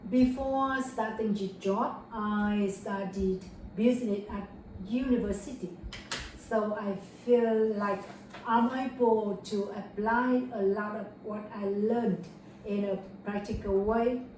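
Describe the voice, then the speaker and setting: A woman's voice: mid-range voice, steady tone, slow, a little mellow. A young woman from Vietnam, answering a mock speaking test in careful, rehearsed English with fillers and self-corrections. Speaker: test candidate. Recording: echoey room, far from mic, faint noise.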